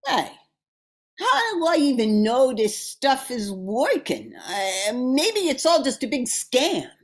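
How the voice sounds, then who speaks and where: A woman acts out a menacing female crime boss from a radio thriller. She is commanding and theatrical, with a fairly high voice. She takes her time over every threat, tough and imperious throughout. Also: wildly swooping pitch, slow, heavily acted. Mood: suspicious, alarmed.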